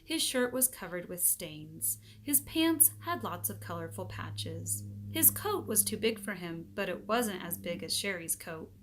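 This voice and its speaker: A woman's voice: on the low side, big pitch swings, measured pace, slight background noise. A woman narrating a children's book aloud as a teacher would for students.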